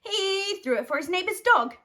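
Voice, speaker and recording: An Australian woman reading a children's picture book aloud, animated and dramatic with playful emphasis. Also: very high-pitched, normal speed. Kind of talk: expressive children's bedtime story reading.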